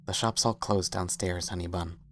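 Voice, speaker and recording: A man playing a gentle, tired and patient cartoon pony husband, speaking softly and reassuringly. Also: deep voice, fairly even intonation, moderate pace. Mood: quiet, weary.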